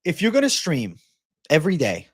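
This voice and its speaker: Slangy Massachusetts-born stream host. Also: male voice, a little high, fairly quick, no echo, clean studio sound. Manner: loose, animated, slangy, says bro. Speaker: MMA livestream host. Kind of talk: casual livestream chat reading viewer comments.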